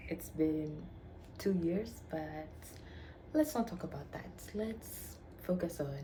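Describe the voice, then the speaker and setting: A young woman from Tanzania chatting casually to camera about herself, playful and chatty, dipping into whispery murmurs. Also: fairly low pitch. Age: young adult. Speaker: vlogger. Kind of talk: casual get-to-know-me chat. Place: shared home room. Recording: noisy home recording with roommates behind. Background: household noise.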